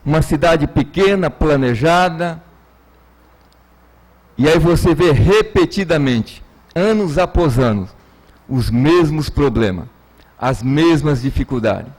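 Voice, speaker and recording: A Brazilian man speaks as a city councillor on the chamber floor. His voice sits a little high for a man. He is emphatic and oratorical, often repeating a phrase to drive it home. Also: lively intonation, takes it slowly, pauses often, darkish tone, low-quality recording. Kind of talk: political floor speech.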